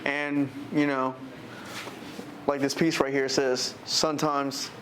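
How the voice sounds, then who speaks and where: A man's voice: medium pitch, flat delivery, slow pace, a bit bright. Male interviewer, mid-range voice, affirming, a little distant with faint noise. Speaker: interviewer, show host. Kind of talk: casual interview questions.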